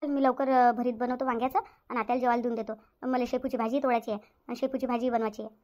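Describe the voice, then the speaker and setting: Woman acting a rapid-talking, resigned village sister-in-law. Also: a little high, level tone, darkish tone, lightly acted. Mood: dutiful, accommodating. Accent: rural Maharashtra, Varhadi Marathi. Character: harried elder village sister-in-law, resigned, dutiful, accommodating. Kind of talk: domestic cartoon story dialogue.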